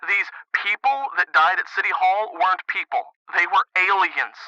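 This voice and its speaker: A man's voice: sits high, moderate pace, sharp tone, no room sound, highs cut off. An actor playing a nervous, paranoid young man calling a radio show, stammering and hesitant. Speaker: radio caller.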